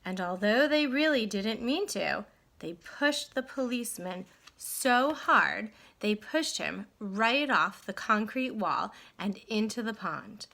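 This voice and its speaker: A woman teacher reading a storybook aloud to children in an expressive, guiding way. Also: medium pitch, takes it slowly, vocal fry. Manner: addresses children directly, guiding them with questions. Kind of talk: read-aloud lesson, expressive narration.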